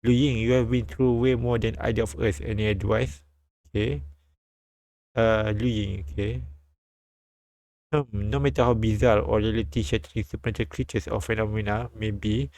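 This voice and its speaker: Low, creaky-voiced man reading aloud. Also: fairly even intonation, darkish tone, no echo, poor audio. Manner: halting, repeats lines while reading. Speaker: streamer reading a visual novel aloud. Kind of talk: live read-aloud with asides.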